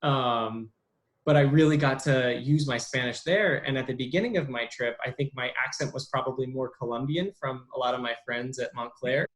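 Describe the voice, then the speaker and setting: A young adult man as an interview guest, talking casually with many pauses and restarts. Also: medium pitch, rough recording. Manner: halting, lots of ums and restarts. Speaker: interview guest, bilingual speech therapist.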